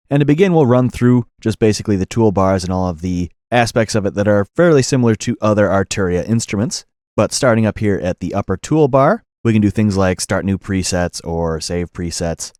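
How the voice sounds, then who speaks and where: Male tutorial instructor, low register, methodical and explanatory delivery, close dry studio voice-over. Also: a little mellow, dry recording. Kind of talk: software tutorial narration.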